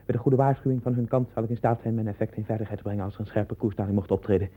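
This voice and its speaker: A husky, haunted, obsessive man. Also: fairly low pitch, steady tone, rapid-fire. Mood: obsessive, secretive. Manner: erratic, swinging from hushed brooding to loud agitation. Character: Len, troubled man sinking into obsessive hallucinations of dwarfs. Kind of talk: 1960s radio play acting. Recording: muffled narrowband old radio recording.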